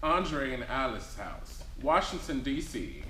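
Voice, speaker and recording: A man's voice: mid-range voice, sing-song, takes it slowly, background noise. A man reading scripted stage directions as narrator at a live play reading. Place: small theatre stage. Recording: roomy live stage recording, loud piano.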